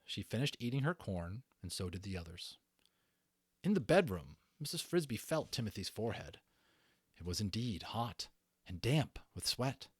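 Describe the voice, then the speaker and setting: A man narrating a children's book as an amateur reader, in a dramatic, deliberate storytelling style. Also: lowish voice, big pitch swings, slightly rough, no echo, clean studio sound.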